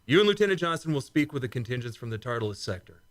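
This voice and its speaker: A man's voice: medium pitch, big pitch swings, very fast, lightly acted. A man acting as a loyal, plain-spoken starship commander in a sci-fi radio play, with military deference and a wry edge. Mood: brisk, wry.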